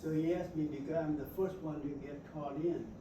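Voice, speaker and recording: A man in his seventies from South Vietnam, with a Vietnamese accent and Vietnamese as his first language, telling his wartime story to an audience in halting English with many pauses. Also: fairly high pitch, steady tone. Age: 70s. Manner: halting, frequent "uh" pauses, simple broken English. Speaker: veteran guest speaker. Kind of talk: personal war storytelling to an audience. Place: university lecture hall. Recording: echoey hall, distant mic, background noise.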